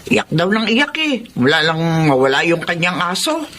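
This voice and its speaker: A woman's voice: lowish voice, sing-song, normal speed, fairly bright tone. An actress with a Filipino accent playing a kind, motherly woman in a radio drama. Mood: explaining, concerned. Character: Lota, kind motherly woman pleading for others. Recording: some noise and ambience behind.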